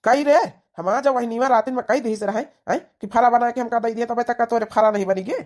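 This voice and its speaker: A woman's voice: a little high, fairly even intonation, brisk, a little mellow, natural voice. A woman with an Awadhi accent voicing a bossy, dismissive young husband in a comedy cartoon, scolding and giving orders. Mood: questioning. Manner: scolding, commanding. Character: bossy, dismissive young husband who sides with his sister.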